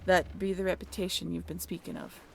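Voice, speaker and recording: A woman's voice: lowish voice, little pitch movement, a little fast, gravelly, darkish tone, a little hiss or hum, voice pushed down. A woman playing a salty female bosun in a roleplay audio drama, talking in theatrical speech with a teasing, instructing manner. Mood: ominous, teasing. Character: salty female bosun of a haunted sailing ship.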